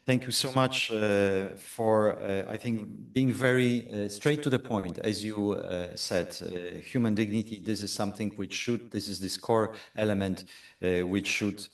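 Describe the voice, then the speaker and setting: A man moderates a panel in a low voice, pausing and hesitating as he searches for words. His voice sits at a distance from the microphone. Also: fairly even intonation, gravelly. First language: Polish. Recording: distant mic in a conference room.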